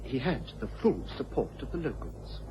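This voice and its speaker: Actor as earnest young English inspector, lively intonation. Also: male voice, medium pitch, moderate pace, natural voice. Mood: grave, respectful. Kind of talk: radio drama acting. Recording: dull vintage radio recording, faint noise.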